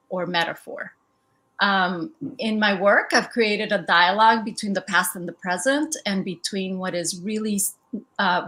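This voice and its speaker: A woman's voice: medium pitch, a bit bright. Reflective woman artist guest. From El Salvador. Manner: thoughtful, reflective, with frequent ums. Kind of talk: conversational museum artist talk.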